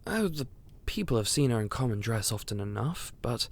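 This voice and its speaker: A man playing a devoted, courteous royal steward in a fantasy audio roleplay, speaking formally, politely and in a measured way. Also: medium pitch, very animated. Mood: courteous, assured.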